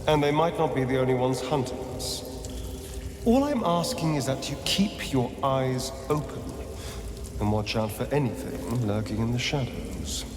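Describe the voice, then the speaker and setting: A suave vampire spawn from a fantasy game, with music behind him in a reverberant space. His speech swoops dramatically, arch and bitter, lingering on key words as if centuries of resentment sit under the polish. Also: mid-range voice. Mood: guarded, earnest. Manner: theatrical, arch, drawn-out emphatic phrasing. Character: suave, bitter male vampire spawn, two centuries old, former magistrate. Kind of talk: theatrical video game dialogue.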